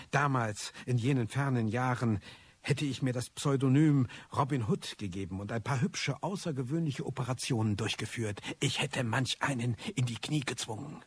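An actor playing a disillusioned, ageing male hacker confessing on tape in a radio drama, brooding and theatrical, with a husky, raspy voice. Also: medium pitch, lightly acted. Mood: wistful. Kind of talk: German radio drama monologue.

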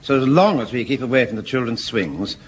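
An actor playing a mild, gently ironic sergeant with a languid drawl in a radio sitcom. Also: male voice, medium pitch, animated, a little fast, natural voice. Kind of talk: 1970s radio sitcom acting. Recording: old radio recording with faint hiss.

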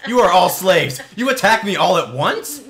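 Lively, crude joking male gamer. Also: high-pitched, moderate pace, a crowd in the background. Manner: crude, swearing, joking banter. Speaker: let's play host. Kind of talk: casual gameplay commentary. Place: hot indoor room.

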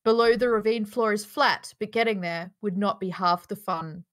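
A woman's voice: mid-range voice, normal speed, a bit bright. A woman reading stage directions as narrator in a live script table read. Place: indoors, on a group video call.

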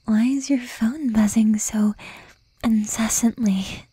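A woman voicing a jealous, possessive young demon girlfriend in a breathy, intimate whisper with pointed pauses, for an ASMR roleplay. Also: fairly high pitch, steady tone, warm tone, no echo, full character voice. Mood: suspicious, probing.

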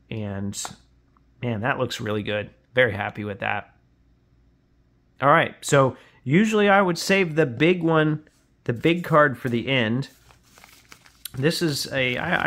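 A man's voice: medium pitch, fairly bright tone, a little hiss or hum. A man hosting in a casual, conversational way, explaining items as he shows them in an unboxing. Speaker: podcast host. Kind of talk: casual unboxing commentary on sports cards. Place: indoors at a tabletop, hands-only camera.

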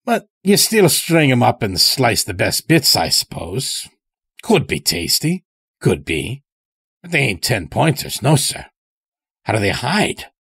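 A man's voice: medium pitch, huge pitch swings, a little slow, creaky, fairly bright tone, breathy edge, no echo, full character voice. A man voicing a folksy, taunting old elder in an audio story, chatty and needling with rustic phrasing. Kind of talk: dramatic audio story character.

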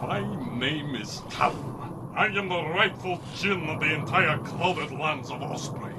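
An actor playing a boisterous, proud winged war leader of falcon men, hearty and declamatory in a radio serial. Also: male voice, on the high side, measured pace, lightly acted. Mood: lordly. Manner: hearty, declamatory, grandly exclaiming. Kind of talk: old-time radio serial acting. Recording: very noisy radio drama effects mix.

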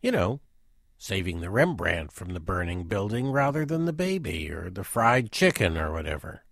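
An actor playing a wry, self-deprecating intellectual who rambles hesitantly through a radio play monologue. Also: male voice, low voice, very animated, moderate pace, gravelly, fairly bright tone, dry recording, studio quality, full character voice. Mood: pedantic, amused. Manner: hesitant, digressive, chuckling asides. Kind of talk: conversational dramatic monologue.

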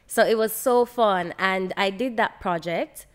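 A woman's voice: mid-range voice, measured pace, clear, resonant tone. A young woman with a Jamaican accent, telling stories casually and expressively as a podcast guest, acting out dialogue as she goes. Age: young adult. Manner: expressive, acts out quoted dialogue. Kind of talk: animated casual storytelling. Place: bright loft living room. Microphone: podcast microphone on boom arm.

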